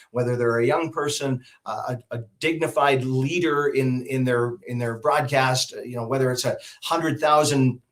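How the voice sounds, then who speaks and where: A man's voice: a little high, a bit bright. Emphatic middle-aged Canadian man, polished speaker. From Alberta, Canada. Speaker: guest, public speaker and emcee. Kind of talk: interview answers, advice. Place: video call.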